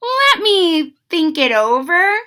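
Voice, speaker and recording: A woman voicing a grumpy young witch girl from a storybook, with drawn-out, exaggerated disdain. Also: very high voice, big pitch swings, bright, forceful tone, voice pushed up, full character voice. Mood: pondering. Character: grumpy young witch girl, wanting something creepy, secretly soft.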